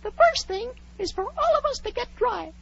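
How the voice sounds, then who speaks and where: A prim little radio-play mouse, speaks in a piercingly high, bright voice. It lectures like a stuffy schoolteacher and takes offense easily. The old recording is dull and muffled. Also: female voice, big pitch swings, moderate pace, creaky, exaggerated voice. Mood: bossy, pompous. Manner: pompous, schoolmasterly. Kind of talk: 1940s radio drama acting.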